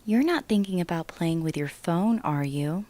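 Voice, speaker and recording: A woman's voice: on the low side, lively intonation, moderate pace, dark tone, no echo, natural voice. A woman playing a playful, teasing partner at home in an audio drama. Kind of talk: audio drama character dialogue.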